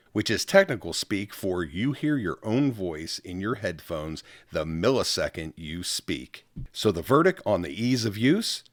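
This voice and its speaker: A man's voice: on the low side, no room sound, studio quality. A man hosting a scripted product review as a narrator. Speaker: product reviewer and channel host.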